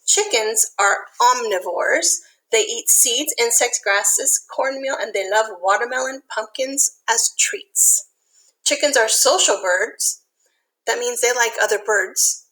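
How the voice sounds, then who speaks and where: A woman reading a picture book aloud to young children, expressive, with simple explanatory asides. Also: a little high, takes it slowly, low-quality recording.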